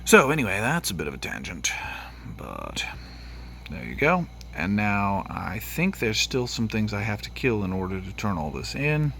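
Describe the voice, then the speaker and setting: A man's voice: on the low side, big pitch swings, moderate pace, vocal fry, bright, forceful tone, slight background noise. A man from Tennessee, with a Tennessee accent, giving casual, rambling gameplay commentary with lots of fillers, sniffly from allergies. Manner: rambling, with frequent fillers and restarts. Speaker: gaming channel host.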